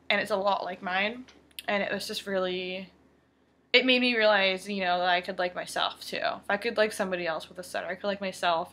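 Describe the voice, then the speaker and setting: A woman's voice: mid-range voice, bright, forceful tone. A woman of twenty-two talking candidly to camera, stuttering now and then and repeating or cutting off words, earnest and open. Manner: earnest and open, with fillers and brief laughs. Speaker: vlogger. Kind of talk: candid personal talk to camera.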